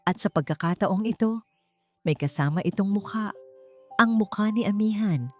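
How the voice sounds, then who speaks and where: A woman's voice: medium pitch, normal speed, a little mellow, soft background music. A woman narrating a scripted dramatic story.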